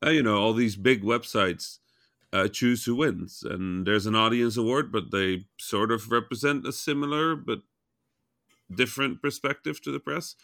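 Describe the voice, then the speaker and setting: A man with a raspy just-woke-up voice, chatting frankly as a podcast co-host, often restarting his sentences. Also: medium pitch, moderate pace, fairly bright tone, no room sound, studio quality. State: raspy morning voice. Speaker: podcast co-host, game developer. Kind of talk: casual chat.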